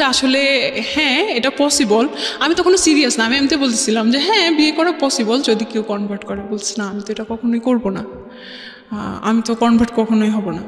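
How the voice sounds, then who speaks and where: A young adult woman with a Bangladeshi accent tells a personal story conversationally, mixing in English words. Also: fairly high pitch. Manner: mixes English words into her talk. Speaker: guest telling her life story. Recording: roomy reverberant studio, music underneath.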